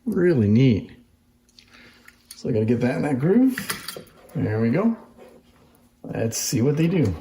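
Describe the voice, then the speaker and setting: Guy doing a casual show and tell, soft mellow tone, roomy echo. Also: mid-range voice, very animated, a little slow, long pauses. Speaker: presenter demonstrating antique phonograph toys. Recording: roomy echo, faint background noise.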